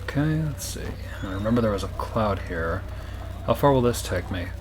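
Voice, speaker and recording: A man's voice: on the low side, expressive, normal speed, background noise. A man playing a game and chatting casually, thinking aloud and giving short replies. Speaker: let's play co-host. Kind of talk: casual chat while playing.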